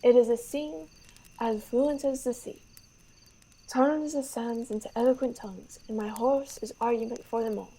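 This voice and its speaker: Young woman as vain French prince for radio Shakespeare. Highish, soft-edged voice, declamatory, besotted with his horse. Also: steady tone, normal speed, breathy edge, rough recording. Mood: lofty praise. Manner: grandiose, declamatory. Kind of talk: radio theater Shakespeare acting.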